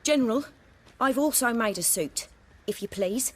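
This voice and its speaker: An actress playing a proud, determined armorer in a fantasy game, direct and self-assured. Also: female voice, fairly high pitch, lively intonation, normal speed, fairly bright tone. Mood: firm, respectful. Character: proud, determined female armorer, confident in her craft. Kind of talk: fantasy video game acting.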